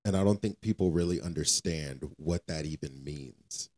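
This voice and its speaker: A man's voice: very deep voice, normal speed, darkish tone. An American man co-hosting a podcast, speaking casually with slang and repeating phrases for emphasis. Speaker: podcast co-host. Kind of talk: casual conversational podcast talk.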